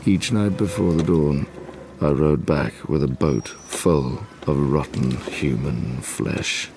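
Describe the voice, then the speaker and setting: A man's voice: very deep voice, takes it slowly, a little mellow, a little hiss or hum, heavily acted. A male actor playing a brooding, obsessive scientist in a gothic audio drama, formal and intense. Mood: grim, haunted.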